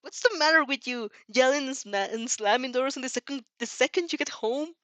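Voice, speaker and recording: A woman puts on an angry mother from a fan fiction story, snapping and swearing. Her scolding is aggressive and high-pitched, captured very dry. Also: fairly quick, fairly bright tone, dry recording. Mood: furious, scolding.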